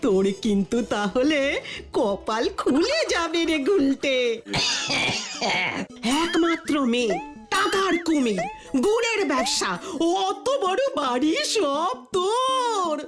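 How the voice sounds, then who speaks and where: A woman's voice: very high-pitched, sing-song, takes it slowly, music in the background, heavily acted. A woman voicing an eager, fussing aunt in a cartoon, coaxing and exclaiming. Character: eager, fussing aunt pushing a rich match. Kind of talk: exaggerated cartoon voice acting.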